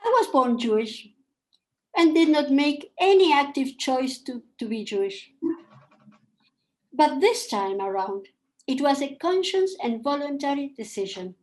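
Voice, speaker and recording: An older woman with Polish as her first tongue, from Argentina, reading a personal account slowly and with feeling as a panel speaker. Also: high voice, expressive, low-quality recording. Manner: slow, measured and emotional.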